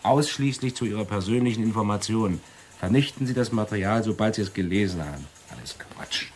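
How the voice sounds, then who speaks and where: Man playing a breezy, deep-voiced office bachelor. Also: animated, fairly quick, slight background noise, natural voice. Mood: flippant. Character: flippant, lovestruck bachelor male intelligence clerk. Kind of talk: German radio play acting.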